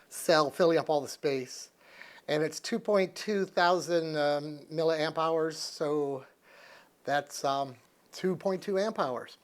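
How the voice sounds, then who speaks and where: High-voiced man thinking aloud on camera. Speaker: product reviewer, vlogger. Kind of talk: casual hands-on gadget review to camera. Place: living room and outdoors in a backyard by a canal. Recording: clear background noise, ambient sound.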